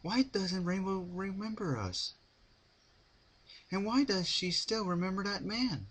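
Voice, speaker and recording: A man's voice: high voice, expressive, a little slow, fairly bright tone, voice pushed up, exaggerated voice. A man voicing a bouncy, curious young female cartoon pony in an eager, questioning manner. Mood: eager, puzzled.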